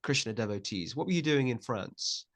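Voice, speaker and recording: A man's voice: mid-range voice, lively intonation, moderate pace, fairly bright tone. A man hosting an interview podcast, chatty and repeating his words, with many filler sounds. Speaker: podcast host.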